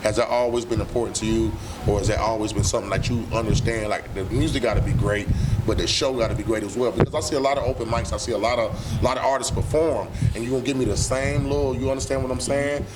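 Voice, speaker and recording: A man's voice: mid-range voice, fairly even intonation, fast talker. A man hosting a casual interview, slangy and hyping up his guest, repeating phrases for emphasis. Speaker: interview host. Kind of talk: casual hype-filled interview chat. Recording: distant mic, noisy room.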